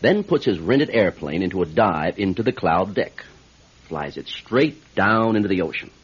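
An actor playing a cynical, matter-of-fact desk official in a radio drama, brusque and offhand, with a husky voice. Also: male voice, low voice, big pitch swings, moderate pace, heavily acted. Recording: dull old radio recording.

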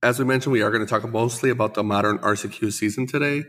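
A man's voice: fairly low pitch, fast talker, a bit bright. A man co-hosting a podcast, congested after the flu, chatting casually and enthusiastically with the odd self-deprecating joke. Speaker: podcast co-host and store owner.

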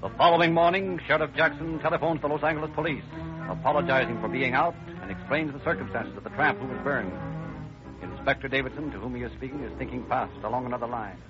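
A man's voice: medium pitch, fast talker, close to an everyday voice. An actor as the narrator of an old-time radio crime drama, formal and urgent in his scripted delivery. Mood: grave, urgent. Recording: dull vintage radio recording. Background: dramatic music.

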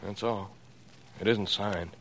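A man's voice: fairly low pitch, fairly even intonation, takes it slowly, a bit bright, a little hiss or hum. An actor playing an anxious, earnest young man in a 1940s radio thriller, hesitant and worried, on an old recording. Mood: earnest, puzzled.

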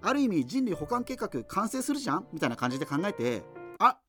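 Radio drama host: nerdy, rambling, self-interrupting, very lively intonation, music underneath. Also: male voice, high-pitched, fast talker, heavily acted. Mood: resigned, musing. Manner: hesitant, digressive, self-interrupting. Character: rambling, geeky male radio host facing doomsday in 2039. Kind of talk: radio drama monologue, conversational.

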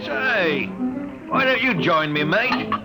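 A man's voice: high-pitched, animated, takes it slowly. An actor playing a chummy, pushy spaceman with a British accent in an old radio drama with music behind. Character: chummy, pushy male spaceman fresh off a voyage.

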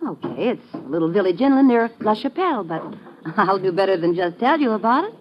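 Girl reporter, radio actress: breezy, slangy, wisecracking woman, lively pitch, dull old drama recording with faint noise. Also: brisk, lightly acted. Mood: eager, brisk. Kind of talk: 1950s radio drama acting.

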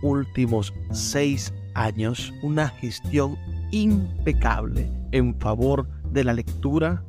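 Venezuelan guy doing a radio promo, medium pitch, music playing behind him. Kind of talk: sponsored radio endorsement.

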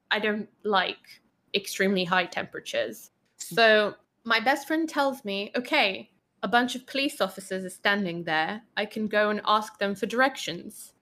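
A woman's voice: mid-range voice, bright, forceful tone. A woman guest on a podcast, chatting and telling a funny personal story. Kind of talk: casual chat, telling an anecdote.

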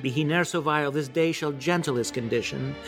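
A man performing a stirring medieval king rallying his outnumbered soldiers in a declamatory, amateur theatrical soliloquy with weighty pauses. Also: on the high side, music in the background, close to an everyday voice. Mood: stirring, fervent.

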